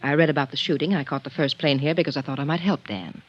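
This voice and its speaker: A woman's voice: low voice, fairly even intonation, fast talker. A woman playing a composed, guarded middle-aged estranged wife, formal and frank, in a 1950s radio drama. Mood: frank, controlled. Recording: muffled 1950s radio recording.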